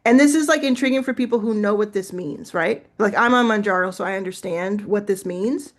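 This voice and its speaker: A woman's voice: mid-range voice, brisk. Chatty woman coaching on a livestream. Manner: chatty, encouraging, thinks aloud with fillers. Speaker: livestream host giving business coaching. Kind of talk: casual live coaching chat.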